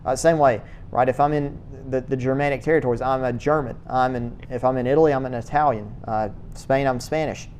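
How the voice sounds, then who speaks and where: A man lecturing on church history in a classroom, his pitch sitting in the middle range. He talks haltingly, repeating words and stopping for uh and um as he thinks. A faint low rumble hums behind him. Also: fairly even intonation, a little mellow.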